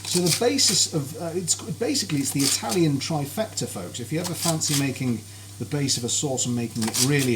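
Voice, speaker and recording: A man chatting cheerfully and politely as he cooks on a live stream in his kitchen, greeting viewers by name. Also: mid-range voice. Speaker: cooking streamer. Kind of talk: live cooking stream chat. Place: home kitchen. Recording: kitchen noise with sizzling frying.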